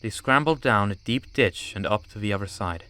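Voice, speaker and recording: A man's voice: lowish voice, moderate pace, pushed and bright, clean tone. A man narrating an audiobook story from a script.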